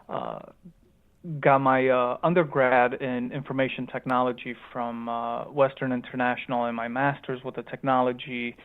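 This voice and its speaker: Man with slight Romanian-Chicago accent on phone. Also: medium pitch. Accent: slight Romanian mixed with Chicago. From born in Romania, raised in Chicago. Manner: hesitant, many ums and repeated starts. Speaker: startup founder guest. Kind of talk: casual interview answers. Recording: tinny phone-line sound.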